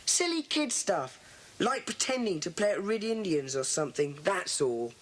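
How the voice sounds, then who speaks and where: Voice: medium pitch, very animated, normal speed. An actor playing a bossy, sceptical English schoolboy club leader, confident and dismissive, in an audio drama.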